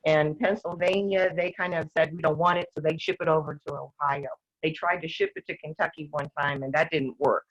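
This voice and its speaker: An older woman talking earnestly as a guest, rambling with restarts, her voice going hoarse. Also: low-pitched, fairly bright tone. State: losing her voice, clearing her throat. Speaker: guest activist. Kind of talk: casual talk-show discussion. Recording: dull lo-fi sound.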